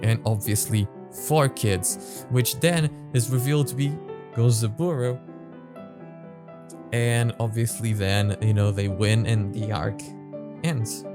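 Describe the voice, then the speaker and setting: A man hosting a reaction video, commenting casually in a halting way that often backtracks, with music behind him. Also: fairly low pitch, fairly even intonation. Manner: halting, repeats words and backtracks.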